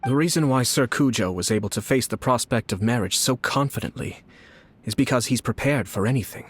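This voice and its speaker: A man acting a composed, shrewd young noble clan head in a fantasy game, speaking in a measured, courtly, formal way. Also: mid-range voice, husky, lightly acted. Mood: courteous, reassuring. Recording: background music under the voice.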